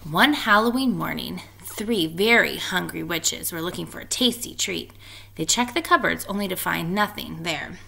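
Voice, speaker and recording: Animated, bright-voiced woman reading aloud. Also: on the low side, normal speed, gravelly, a little hiss or hum, rough recording. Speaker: storybook reader. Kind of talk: expressive read-aloud narration.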